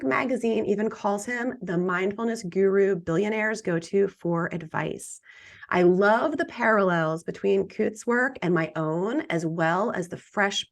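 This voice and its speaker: Gravelly-voiced woman hosting a podcast. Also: medium pitch. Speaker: podcast host. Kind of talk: scripted introduction and interview.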